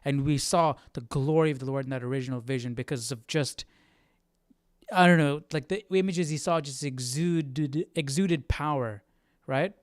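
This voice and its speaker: A man's voice: fairly high pitch, moderate pace, no echo, clean studio sound. A man hosting a livestream Bible study with casual, reflective commentary, thinking aloud and often checking in with his listeners.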